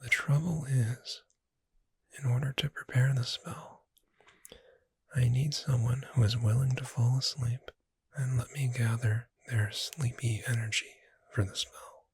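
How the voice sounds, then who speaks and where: A performer voicing a friendly witch bookseller in a soft-spoken ASMR roleplay, gentle, unhurried and lightly wry in a breathy near-whisper. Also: mid-range voice, steady tone, no echo, full character voice. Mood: gentle, persuasive.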